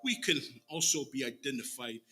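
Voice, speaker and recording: A middle-aged man with a Samoan accent preaching an emphatic sermon at a church pulpit, swinging between hushed phrases and loud declamation. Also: medium pitch, animated, normal speed. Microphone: microphone on a boom stand at the pulpit.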